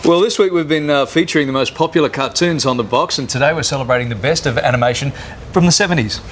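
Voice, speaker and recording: A man's voice: fairly high pitch, fast, over music. An Australian man co-hosting a morning TV show, chatty and jokey as he presents and banters. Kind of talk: breakfast television presenting with playful banter. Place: TV studio.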